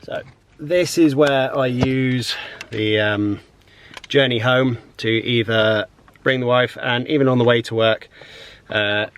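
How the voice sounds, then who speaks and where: Trainer vlogging over background noise. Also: male voice, mid-range voice. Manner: relaxed, rambling, with frequent ums. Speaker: personal trainer. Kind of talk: casual vlog narration. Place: home, car and outdoor walk.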